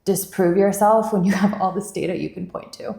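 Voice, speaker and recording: A woman's voice: mid-range voice, a little fast, creaky, mellow. A woman in her thirties, originally from Bulgaria, talks as a guest on an interview podcast, chatty and rambling with lots of "like". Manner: chatty, rambling, many "like" fillers. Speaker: venture investor guest. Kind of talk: casual interview chat. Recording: echoey room.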